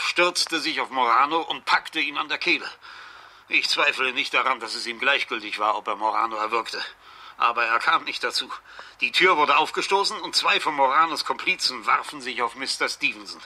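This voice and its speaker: An actor playing a formal, meticulous informant in a German radio crime drama, speaking precisely and insistently. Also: male voice, medium pitch, normal speed, sharp tone, husky. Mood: grave recounting. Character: formal, meticulous male informant recounting events.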